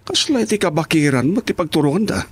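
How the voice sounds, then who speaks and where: Animated Ilocano henchman, questioning and deferential. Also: fairly high pitch, fast, husky. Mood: watchful. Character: questioning henchman and driver, deferential to his boss. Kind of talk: Ilocano radio drama acting.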